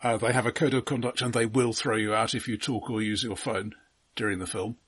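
A man co-hosting a podcast in casual film discussion, often starting haltingly and repeating his words. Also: fairly low pitch, steady tone, a bit bright, slightly rough, dry recording. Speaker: podcast co-host.

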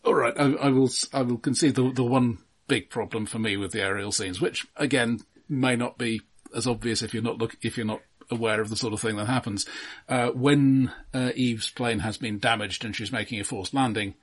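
A man's voice: mid-range voice, steady tone, normal speed, slightly rough. A man co-hosting a film podcast, chatting casually in a hesitant, pedantic, detail-minded way. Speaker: film discussion podcast co-host.

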